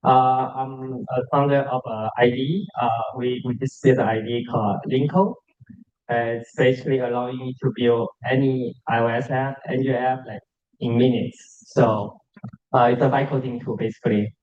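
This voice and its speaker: A man's voice: mid-range voice, steady tone, normal speed, a little mellow, highs cut off. A man who founded a startup making an unscripted announcement to a meetup audience, hesitant and full of "uh" and "like" fillers. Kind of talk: casual spontaneous announcement.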